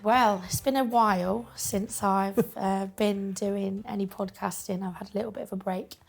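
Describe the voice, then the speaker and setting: Lady with a croaky rough voice, chatty and friendly, lots of ums, interviewing her friend. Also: medium pitch, steady tone, normal speed, faint music behind. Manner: friendly, rambling, many ums. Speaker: interviewer, podcaster. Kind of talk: casual interview chat. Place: small recording studio.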